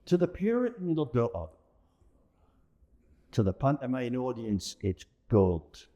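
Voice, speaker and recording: A man's voice: mid-range voice, very animated, a little slow, warm tone. A middle-aged or older man, chatting in a jokey, theatrical and emphatic way as an interviewed performer. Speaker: pantomime performer being interviewed. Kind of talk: casual interview chat.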